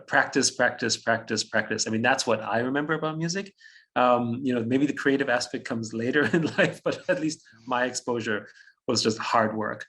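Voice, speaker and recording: A man's voice: medium pitch, fairly bright tone. A German-speaking man in his fifties from Austria, a guest on an interview, telling his story reflectively, chuckling often and restarting phrases.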